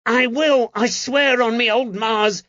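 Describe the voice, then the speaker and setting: A man's voice: very high voice, steady tone, slow pace, pushed and bright, no room sound, highs cut off, voice pushed up, full character voice. A man voicing a snivelling, indignant Cockney moneylender in an audiobook, whining and pleading defensively. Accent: London Cockney. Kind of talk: audiobook character acting.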